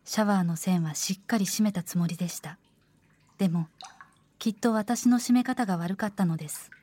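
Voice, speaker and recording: A woman narrating a scripted horror radio drama in the first person, in a measured, formal storytelling manner. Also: mid-range voice, mellow.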